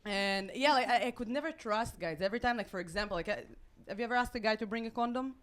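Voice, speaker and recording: A woman in her late twenties from Israel, with an Israeli accent and Hebrew as her first language, performing stand-up with deadpan, self-mocking delivery and halting restarts. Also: fairly high pitch. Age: late 20s. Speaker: stand-up comedian. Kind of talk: stand-up routine. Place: comedy club stage with a live audience.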